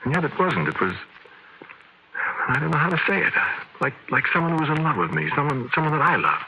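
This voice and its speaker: An actor playing a shaken, haunted man who confides a ghostly encounter in halting, emphatic phrases, in the style of old-time radio horror. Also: on the high side, very animated, pushed and bright, a little hiss or hum, heavily acted, rough recording. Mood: uneasy, awed. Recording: vintage radio recording.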